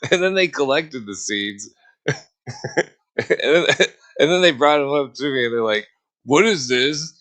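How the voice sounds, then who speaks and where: A man's voice: mid-range voice, animated, unhurried, pauses often, fairly bright tone. A man chatting casually on a livestream, telling anecdotes in a rambling way with repeated phrases. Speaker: livestream talker. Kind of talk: casual chat.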